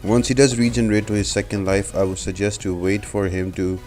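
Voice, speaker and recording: A man's voice: on the low side, little pitch movement, a little fast, darkish tone. A man giving a step-by-step gameplay tutorial as a voice-over, explaining things as a guide host. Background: background music.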